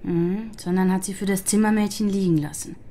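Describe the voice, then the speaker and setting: A woman's voice: medium pitch, fairly even intonation, fairly quick, mellow, a little hiss or hum, natural voice. An actress playing a sober, questioning female investigator in a crime radio drama. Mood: thoughtful, questioning.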